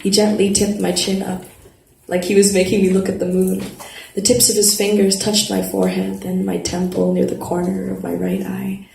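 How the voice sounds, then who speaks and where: A woman's voice: mid-range voice, flat delivery, normal speed, vocal fry, mellow. Woman narrating a novel in a roomy venue. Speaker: reader of a first-person novel. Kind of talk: dramatic live reading, conversational first-person narration. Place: live literary event at an arts festival. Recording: roomy live venue, background noise.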